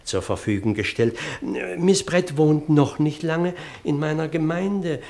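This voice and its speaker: A man's voice: fairly high pitch, a little slow, darkish tone. An actor playing an earnest, long-winded parish clergyman in a German radio play, pedantic and hesitant, easily flustered as he digresses. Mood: insistent, fussy.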